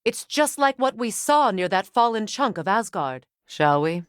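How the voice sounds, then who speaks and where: A woman's voice: mid-range voice, very animated, a little slow, smooth, no echo, studio quality. An actress playing a stern, proud warrior goddess, a battle-hardened mother with a commanding, curt manner, in a fantasy video game. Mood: calm, observant. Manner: commanding, curt, sharp-tongued. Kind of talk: dramatic fantasy game dialogue.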